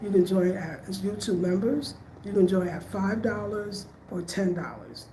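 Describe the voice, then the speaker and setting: A woman's voice: medium pitch, measured pace, warm tone, a little hiss or hum. A woman in her late fifties, chatting casually and reflectively to her viewers at home, calling them y'all. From United States. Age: 50s. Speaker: vlogger. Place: home room in Ghana. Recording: echoey room, faint ambience.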